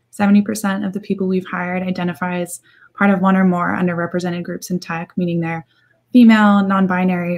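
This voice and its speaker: Congested slightly raspy woman, recruiter chatting on a video call panel, medium pitch. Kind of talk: informal panel Q&A. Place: indoor room, video call.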